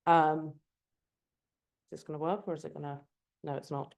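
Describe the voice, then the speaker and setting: A woman's voice: deep voice, level tone, normal speed, creaky, a little mellow. A woman from England, a postdoctoral researcher, giving an academic seminar talk in a hesitant way, with many ums and uhs. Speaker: guest speaker, postdoctoral researcher.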